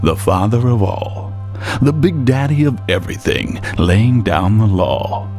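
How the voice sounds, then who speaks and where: An actor playing a hip, playful narrator of Greek myths in a radio drama, with theatrical rhyming delivery. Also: male voice, lowish voice, animated, measured pace, darkish tone. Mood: sly, playful. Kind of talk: rhythmic rhyming radio storytelling over music. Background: music.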